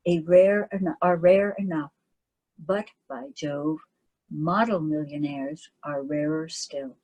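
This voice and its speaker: A woman voicing a jovial, teasing young gentleman painter in a read-aloud story, in her own breathy voice. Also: deep voice, slow pace, pitched below the performer's own voice, rough recording. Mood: wry.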